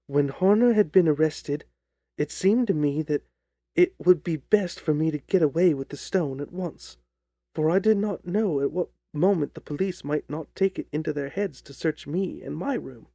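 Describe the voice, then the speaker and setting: A man narrating a story as an audiobook reader, in a measured, deliberate, dramatic style. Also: fairly high pitch, vocal fry, dark tone, dry recording.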